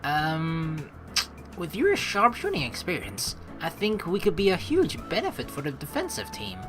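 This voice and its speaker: Low-pitched, lively, bright woman gamer. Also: moderate pace. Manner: chatty, informal, rambling asides. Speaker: let's play host. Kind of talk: game commentary mixed with reading story text aloud. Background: game music.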